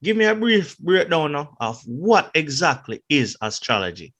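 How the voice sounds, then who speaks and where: A man's voice: on the high side, sing-song, fairly bright tone. A man hosting a podcast in a loose, upbeat, casual way. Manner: upbeat, loose, drawn-out hype phrasing. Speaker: podcast host.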